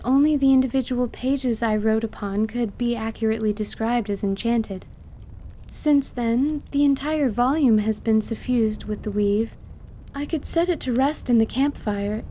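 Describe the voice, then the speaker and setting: Bookish lady wizard, acted by a woman: guarded, precise, formal, mellow tone, slightly high, fantasy audio drama. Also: natural voice. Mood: measured. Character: bookish, guarded female wizard who over-explains magic.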